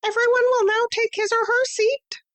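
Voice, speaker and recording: A woman voicing a tiny, pompous male mouse who acts as a brisk substitute teacher, lecturing in a crisp, bossy way. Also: very high voice, flat delivery, fairly bright tone, pitched above the performer's own voice. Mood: commanding. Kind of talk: storybook reading.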